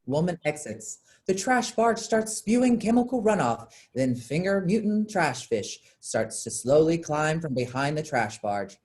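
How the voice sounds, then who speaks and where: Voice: medium pitch, very animated, unhurried, hardly any pauses, a little mellow. A narrator reading scripted stage directions for a staged play reading.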